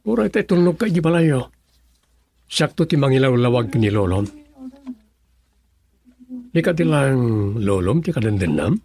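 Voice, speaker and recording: An actor playing a concerned gentleman in an Ilocano radio drama, speaking with a Filipino accent. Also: medium pitch, lively intonation, unhurried, pauses often, husky. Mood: friendly, inquiring. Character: concerned gentleman driver, male, addressed respectfully as sir.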